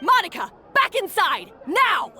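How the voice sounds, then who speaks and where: Shrill, tyrannical head chef. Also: female voice, big pitch swings, unhurried, bright, forceful tone, soft background music, exaggerated voice. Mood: commanding. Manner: imperious, scornful, barking orders. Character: tyrannical, haughty female head chef, short-tempered bully. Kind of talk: anime game voice acting.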